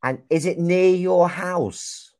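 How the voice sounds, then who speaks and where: A young man from England, teaching a young child over a video call in slow, clear, encouraging speech full of exclamations. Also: sits high. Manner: slow, clear and lively, often exclaims and laughs. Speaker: online English teacher. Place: small room indoors. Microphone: video call with wired earphone mic.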